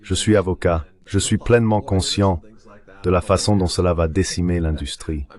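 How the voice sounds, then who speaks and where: A man's voice: low-pitched, normal speed, darkish tone, lightly acted. A man delivering an explanatory voice-over translation for an interview guest. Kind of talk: dubbed interview commentary, explanatory.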